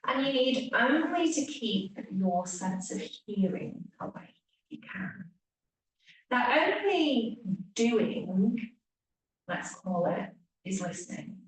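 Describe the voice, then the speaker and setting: Woman doing guided yoga nidra, really slow n gentle, super echoey, mic kinda far off. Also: on the high side, sing-song. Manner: gentle, hushed phrase endings, long pauses. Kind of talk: guided relaxation meditation. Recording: echoey room, distant mic, faint hiss.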